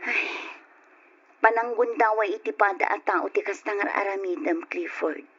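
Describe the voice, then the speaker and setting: An actress playing a conscientious, gently reproachful wife in an old radio drama, with an Ilocano accent. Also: female voice, medium pitch, normal speed, slightly rough. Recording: muffled old radio recording, faint noise.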